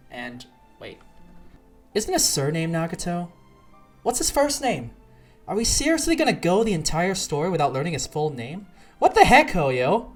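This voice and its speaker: A reviewer giving casual, slangy video commentary on a game story, sarcastic and exasperated as they rant. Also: mid-range voice.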